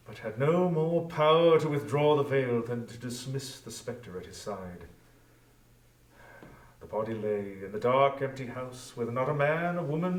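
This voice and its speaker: A man narrating a story in a solemn, deliberate, theatrical dramatic style. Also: a little high. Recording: echoey room, distant from mic.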